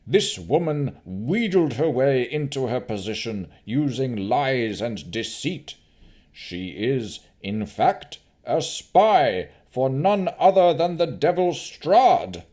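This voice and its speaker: A man's voice: medium pitch, sing-song, slow pace, darkish tone, voice pushed up. A man voicing a pompous, tyrannical male noble baron with a haughty, proclaiming manner.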